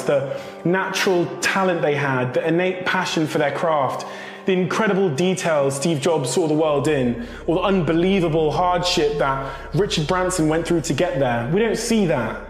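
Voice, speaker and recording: Young man giving an echoey motivational speech. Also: fairly high pitch. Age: young adult. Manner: earnest, rhetorical, measured delivery. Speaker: motivational speaker. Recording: echoey reverberant room with music.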